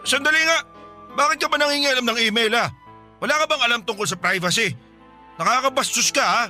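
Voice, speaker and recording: A man's voice: extremely high pitch, brisk, pushed and bright, faint music behind, heavily acted. A man acting an evasive husband in a Tagalog radio drama, defensive, scoffing and blustering. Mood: indignant. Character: indignant, evasive older husband denying an affair.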